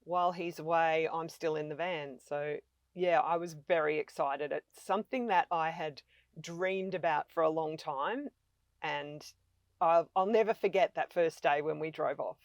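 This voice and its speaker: An Australian woman in her fifties tells her stories in an eager, conversational way. Her voice sits on the low side, and the recording is dry and close. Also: steady tone, measured pace, no echo, lo-fi sound. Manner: chatty, enthusiastic storyteller. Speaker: travel vlogger guest. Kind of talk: casual conversation.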